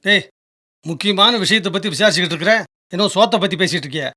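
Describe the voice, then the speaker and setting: A high-voiced, earnest young friend. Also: male voice, very fast, bright, forceful tone. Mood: concerned, insistent. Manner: casual, insistent. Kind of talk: Tamil radio drama acting.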